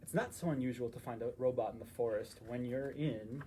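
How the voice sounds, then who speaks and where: A man narrating a children's story in an animated style, as a story time reader. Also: medium pitch, fairly quick, a little hiss or hum.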